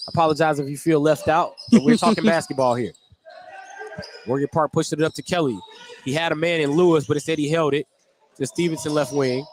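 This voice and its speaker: A man with a fairly high voice works as a basketball play-by-play announcer. He delivers live radio commentary, following the game as it happens. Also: fast talker. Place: courtside in a gymnasium.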